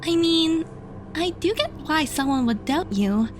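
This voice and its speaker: A woman playing an earnest, hopeful, caring young woman in an audio drama, sincere and gently insistent. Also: high-pitched, animated, unhurried, slight background noise.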